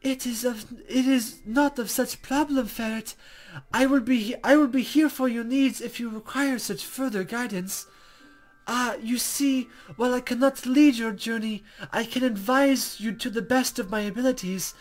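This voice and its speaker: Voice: mid-range voice, normal speed, voice pushed up, lightly acted. A performer voicing a playful, flustered young woman guide from a fantasy visual novel, with stammering, formal, ornate speech. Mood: courteous, encouraging. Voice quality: put-on, wavering accent.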